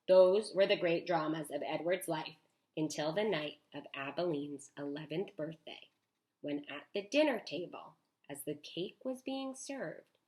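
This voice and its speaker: A woman teacher reading a storybook aloud to children, expressive, with chatty asides. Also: low voice, low-quality recording. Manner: animated, with chatty asides to young listeners.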